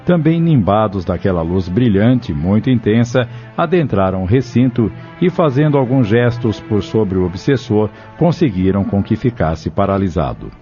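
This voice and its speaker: Audio novel narrator: male, dark mellow tone, fairly low, scripted storytelling over soft music. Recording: dull lo-fi narration recording.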